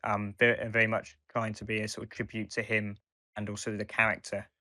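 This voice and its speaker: A man co-hosting a casual fan podcast, talking in a halting, hesitant way as he reviews. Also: fairly low pitch, monotone, pushed and bright, no room sound, clean studio sound. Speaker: podcast co-host.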